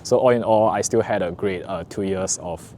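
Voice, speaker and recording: A young man from Singapore with a Singaporean English accent, talking earnestly to camera in a relaxed vlog, with frequent "uh" fillers. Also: deep voice, moderate pace, a little hiss or hum. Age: young adult. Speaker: vlogger, recent business school graduate. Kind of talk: casual talk to camera.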